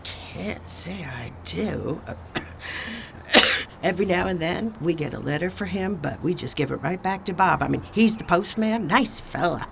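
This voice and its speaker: An elderly woman, kindly and hospitable, talks slowly with a gravelly creak. She rambles in a folksy audio-drama manner, with clear outdoor noise and a dog around her. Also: lowish voice, expressive. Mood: folksy, chatty. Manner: rambling, folksy, coughing between phrases.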